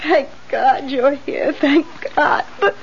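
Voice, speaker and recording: An actress playing an earnest, fearful widow in a 1970s radio drama, with melodramatic, pleading delivery. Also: female voice, high voice, slow. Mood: relieved, tearful. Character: earnest, fearful widow, emotional damsel in distress. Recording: dull, very noisy 1970s radio recording.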